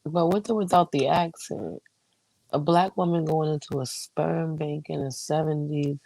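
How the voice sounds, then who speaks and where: A man's voice: a little high, steady tone, normal speed, gravelly, darkish tone, no echo, low-quality recording. A man chatting casually as co-host, incredulous and profane in his reactions. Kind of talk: casual chat.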